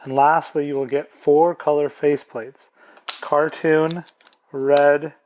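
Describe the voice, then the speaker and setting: Male product presenter, voice a touch high, plain step-by-step tutorial delivery. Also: level tone, takes it slowly, darkish tone, a little hiss or hum.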